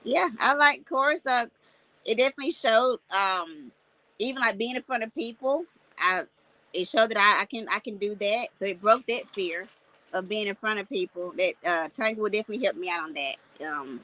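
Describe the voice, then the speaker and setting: A woman in her thirties from North Carolina with a squeaky voice, chatting casually as an interview guest, laughing often and using lots of fillers. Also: moderate pace, pushed and bright, dry recording, low-quality recording. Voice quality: self-described squeaky voice. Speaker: guest artist.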